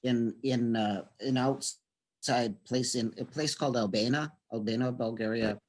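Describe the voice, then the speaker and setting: A man's voice: mid-range voice, steady tone, a little slow, darkish tone. A man, a former amateur boxer, speaking casually and often repeating words, with chuckles mixed into his speech. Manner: stammering repeats, chuckles while talking. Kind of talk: casual conversation.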